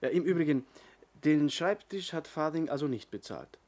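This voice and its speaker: A man's voice: a little high, expressive, moderate pace, a little mellow. An actor playing an easygoing, matter-of-fact male boss in a German radio play.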